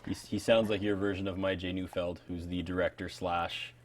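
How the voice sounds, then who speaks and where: Man, lowish voice, talk show host, casual interviewing. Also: little pitch movement, brisk, slight background noise.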